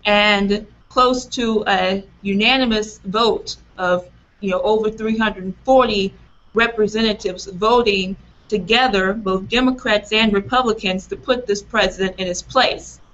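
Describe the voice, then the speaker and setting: Woman, 40s, raspy from allergies, emphatic opener, unhurried delivery, lo-fi webcam sound. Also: medium pitch, fairly even intonation, a bit bright. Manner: earnest, emphatic, laughs at own slips. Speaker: presenter introducing a talk. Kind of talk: political talk introduction, unscripted. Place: small office room, indoors. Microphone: webcam on a video call.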